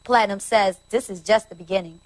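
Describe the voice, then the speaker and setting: An actress playing a polished, serious American TV news anchor in a video game, reading a scripted report. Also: female voice, medium pitch, brisk, close to an everyday voice. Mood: serious, brisk.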